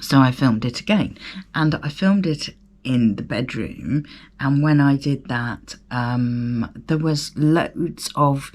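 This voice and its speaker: A middle-aged woman hosts her own podcast, her throat croaky from a cough. She talks at an unhurried, slow pace, rambling with fillers and poking fun at herself. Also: a bass voice, darkish tone. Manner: chatty, rambling, self-deprecating, with fillers and laughs. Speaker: podcast host. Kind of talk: solo casual podcast chat.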